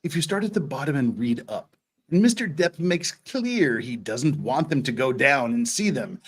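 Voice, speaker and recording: Man reading as an earnest, animated trial lawyer. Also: medium pitch, normal speed, breathy edge. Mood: quoting evidence. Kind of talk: dramatic reading of a court transcript.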